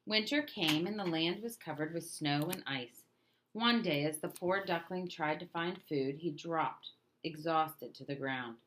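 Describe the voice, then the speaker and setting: A woman reading a children's storybook aloud in an expressive, emphatic way. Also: sits low, a little slow, slight background noise, low-quality recording. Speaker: storybook reader.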